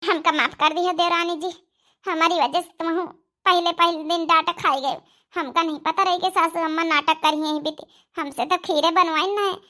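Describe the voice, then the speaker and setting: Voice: extremely high pitch, sharp tone, full character voice. A performer voicing a meek, apologetic young village daughter-in-law in an Awadhi comedy cartoon, deferential and earnest. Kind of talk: rustic comedy cartoon dialogue.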